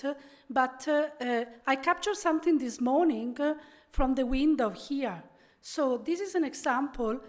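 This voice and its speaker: A middle-aged Italian woman with a high voice speaks English with an Italian accent. She gives a conference keynote in a friendly, chatty way and often pauses to gather her words. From Italy. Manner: friendly, conversational, frequent pauses and fillers.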